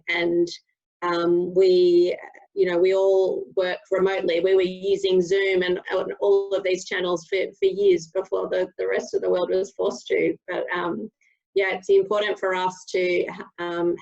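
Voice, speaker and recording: A woman's voice: fairly low pitch, darkish tone, muffled recording. A woman with an Australian accent, a company co-founder answering on a webinar panel, hesitant with many fillers and restarts. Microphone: video call.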